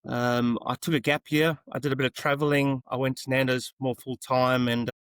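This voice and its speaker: A man chats as a podcast guest. His voice sits at a middle pitch, and he tells stories in an easygoing, matey way. The recording is dry, without room sound. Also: level tone, moderate pace, fairly bright tone, smooth, no echo. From South Africa, later Australia. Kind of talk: casual interview storytelling.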